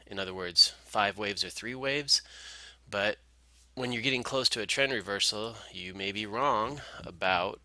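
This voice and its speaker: A man's voice: fairly low pitch, measured pace, bright, forceful tone, no echo, poor audio. A man giving informal, explanatory screen-share commentary as a market analyst, talking through charts step by step.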